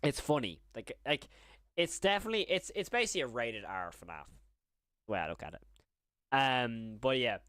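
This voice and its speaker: A young man with a slight stutter, chatting excitedly on a live stream and often restarting his sentences. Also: mid-range voice, moderate pace, clear, resonant tone, dry recording, clean studio sound. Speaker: horror YouTuber streaming live. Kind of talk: casual live-stream chat.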